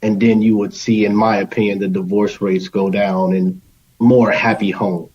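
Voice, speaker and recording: A man's voice: on the low side, little pitch movement, normal speed, darkish tone. A man giving conversational answers as a guest in a podcast interview. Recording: slightly dull lo-fi sound.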